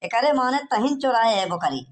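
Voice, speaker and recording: A man's voice: extremely high pitch, very animated, normal speed. A man voicing a blustering village husband in a cartoon, in a rustic Awadhi accent from eastern Uttar Pradesh. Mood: indignant. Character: blustering village husband searching for his lost goat. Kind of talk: cartoon dialogue in rustic dialect.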